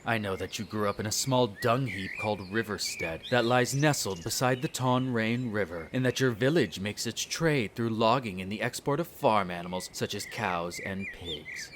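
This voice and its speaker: A man's voice: on the low side, moderate pace, hardly any pauses, a bit bright, slight background noise, close to an everyday voice. A man playing an irritable aristocratic vampire in a roleplay audio drama, speaking formally with sneering condescension toward humans. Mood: cold disdain. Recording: nature ambience with birdsong behind. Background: birdsong and garden ambience.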